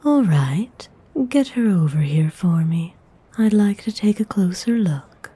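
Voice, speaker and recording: A woman acts out a noble lady vampire for an ASMR roleplay, haughty but benevolent. Her voice is very mellow and soft, heavy with breath and half whispered. She speaks slowly and formally, in a slightly low register, with cool refinement. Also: lively intonation, slightly rough, heavily acted. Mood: commanding, curious. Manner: unhurried, formal, coolly refined. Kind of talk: ASMR audio roleplay acting.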